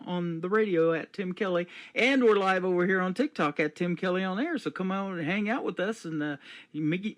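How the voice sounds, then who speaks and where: A man in his fifties from the United States, hosting a casual radio interview in a chatty, enthusiastic way with lots of fillers. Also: sits high. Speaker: radio host.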